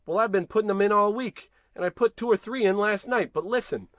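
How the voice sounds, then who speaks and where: An actor playing an excitable, fretful husband, theatrical and folksy, in a 1940s-style radio comedy. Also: male voice, high-pitched, fairly quick, no echo, clean studio sound. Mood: baffled, insistent.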